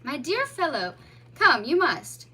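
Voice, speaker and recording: A woman's voice: high-pitched, very animated, pushed and bright, pitched above the performer's own voice, exaggerated voice. A woman voicing a kindly male mole who takes charge, firm and fatherly. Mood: concerned. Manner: brisk, firm then soothing. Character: kindly commanding male mole, middle-aged.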